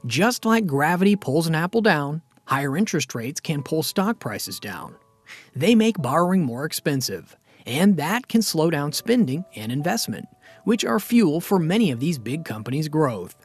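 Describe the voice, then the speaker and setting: A man reading a scripted finance explainer narration in an even, steady, explanatory way. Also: a little high, normal speed, husky, dry recording.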